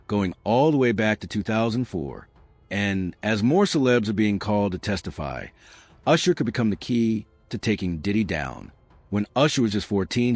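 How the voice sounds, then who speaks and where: Man, scripted celebrity-gossip narration, low lively voice, dry close recording, faint music behind. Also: no echo.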